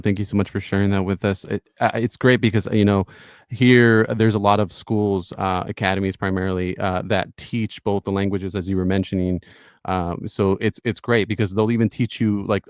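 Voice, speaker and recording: A man with a fairly low voice hosts a relaxed livestream conversation. He often repeats words and restarts his sentences. He encourages his guests with short agreeing words. The sound is dry and close. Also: dry recording. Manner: hesitant, repeats words, warm affirmations. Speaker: podcast host. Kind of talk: casual livestream chat.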